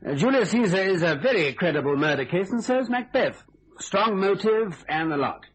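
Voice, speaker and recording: A sly police inspector in a radio thriller. His husky voice rises and falls widely, wordy and theatrical, needling with deliberate repetition. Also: high voice, lively intonation, poor audio. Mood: theatrical, menacing.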